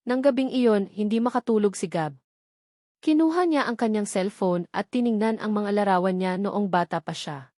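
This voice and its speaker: A woman narrates a scripted love story as a dramatic storyteller. Her delivery is emotive and theatrical, pitched in a middle female range. The sound is dry, with hardly any room on it. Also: moderate pace, warm tone, no echo.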